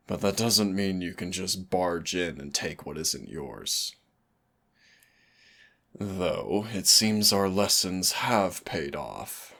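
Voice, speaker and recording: Very deep, suave male demon played by a man. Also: fairly bright tone, full character voice. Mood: wry, fond. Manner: teasing endearments, measured, solemn dramatic delivery. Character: suave immortal male demon heir, darkly affectionate, brooding. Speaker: demon hunter's mentor and partner. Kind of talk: intimate roleplay audio drama monologue.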